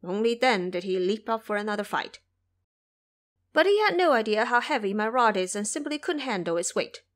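A woman's voice: mid-range voice, very animated, moderate pace, close to an everyday voice. A woman voicing a brash, boastful male monkey sage in an audio drama, speaking emphatically. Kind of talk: audio drama character acting.

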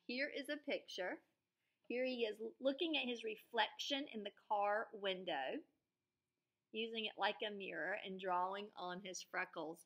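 Bright-voiced woman teacher reading aloud. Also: medium pitch. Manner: expressive, asks the listeners questions. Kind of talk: children's read-aloud with explanations and questions. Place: small classroom or school room, indoors.

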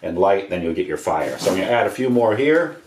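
A man's voice: sits low, very animated, a little fast. An American man, around his forties, giving a casual hands-on demonstration in an offhand, slangy, rambling way. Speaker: DIY homesteading presenter. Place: indoors, living room by wood stove. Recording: roomy echo, noise of handled objects.

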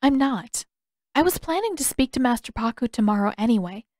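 A woman's voice: fairly high pitch, lively intonation, fairly quick, darkish tone, no room sound, clean studio sound, pitched above the performer's own voice. A woman voicing a frank, caring, determined teenage girl with direct, casual phrasing in an audiobook story.

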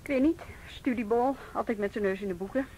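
A woman's voice: quick pace, mellow, close to an everyday voice. A woman playing a firm, matter-of-fact youth police officer who asks probing questions in a Dutch radio drama. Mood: polite, businesslike. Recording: noisy old radio drama recording, rumble.

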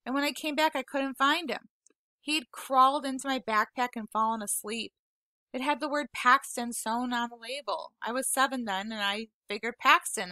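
A woman's voice: a little high, sharp tone, no room sound. A woman reading the part of a frustrated, tearful twelve-year-old boy who pushes back defensively. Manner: raw, defensive, voice rising in protest.

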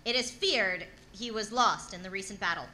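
A woman's voice: medium pitch, moderate pace, pushed and bright, lightly acted. A woman playing an urgent radio news announcer, formally reading war bulletins in 1930s radio drama style. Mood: grave, urgent. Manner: formal, declamatory.

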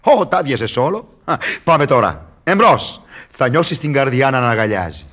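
An actor in a radio drama playing a sly, mocking devil who wheedles and teases in a declamatory way. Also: male voice, medium pitch, big pitch swings, fairly bright tone. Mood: goading. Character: sly, mocking devil tempter and fixer, male. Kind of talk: theatrical radio drama acting.

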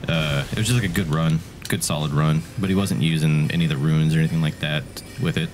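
A man bantering casually as he comments on a friend's video game, joking and swearing freely in an excitable way. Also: rumbling low, fast, background noise. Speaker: co-host commenting on a friend's gameplay. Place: indoor room, webcam setup beside another player. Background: game music.

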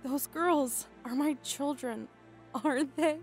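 A woman's voice: high voice, slow pace, darkish tone. A woman playing a tough, tender, self-sacrificing mother in an emotional, earnest manga dub. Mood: tearful tenderness. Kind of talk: dramatic manga voice-over acting. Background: music behind the voice.

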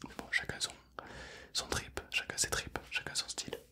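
A man's voice: moderate pace, natural voice. Casting: man playing a kindly ghost zombie for ASMR roleplay, whispering, soothing and repetitive, playful about eating brains. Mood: gentle, playful. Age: 20s. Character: friendly calm brain-loving ghost zombie.

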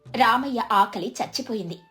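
A woman's voice: fairly high pitch, moderate pace, fairly bright tone, faint music behind. A woman narrating a scripted moral story as a storyteller.

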